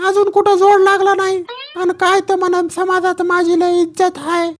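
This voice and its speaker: Whiny cheeky village bachelor kid-of-the-house in a comedy cartoon, really high squeaky voice. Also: male voice, completely flat delivery, moderate pace, fairly bright tone, a little hiss or hum. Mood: whiny, indignant. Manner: complaining, insolent. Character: whiny young village bachelor son desperate to get married. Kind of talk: exaggerated comedy cartoon dialogue.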